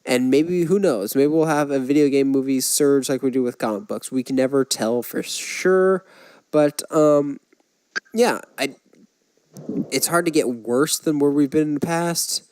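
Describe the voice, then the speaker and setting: A man's voice: mid-range voice, a little slow, darkish tone, clean tone. A man co-hosting a podcast, talking casually in a conversational way. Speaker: podcast co-host. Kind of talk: casual chat about movies and games.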